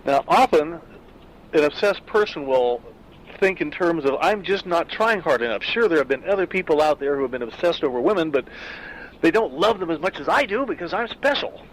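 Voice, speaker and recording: A man's voice: on the high side, animated, fairly quick, slight background noise, rough recording. A middle-aged man talking casually and thoughtfully about a story he wrote, with frequent fillers. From Southern California. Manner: reflective, conversational, loose with fillers. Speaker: author discussing his own story. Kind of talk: casual spoken afterword.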